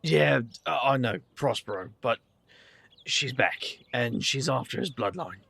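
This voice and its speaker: A guarded young man in an audio drama, wry and a little hesitant. His voice is husky and creaky, with lively swings in pitch. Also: unhurried, no echo, heavily acted. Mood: earnest.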